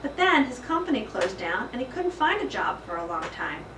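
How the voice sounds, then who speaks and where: A woman narrating a children's storybook aloud in an expressive, dramatic and emphatic style. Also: a little high, normal speed. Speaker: school principal reading aloud. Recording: echoey room, distant mic, faint noise.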